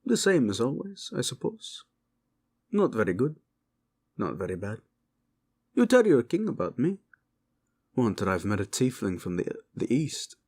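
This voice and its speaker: A man voicing a flippant, flirtatious tiefling soldier in a fantasy audio drama, with an animated, chatty, joking delivery. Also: mid-range voice, measured pace, pauses often, heavily acted. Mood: teasing.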